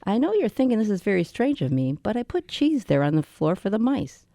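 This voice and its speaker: Woman acting a mellow, creaky old widow, genteel. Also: mid-range voice, very animated, a little fast, no room sound, clean studio sound. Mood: hospitable, chatty. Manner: polite, chatty, genteel. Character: lonely eccentric old widow, gentle and hospitable. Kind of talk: radio drama acting.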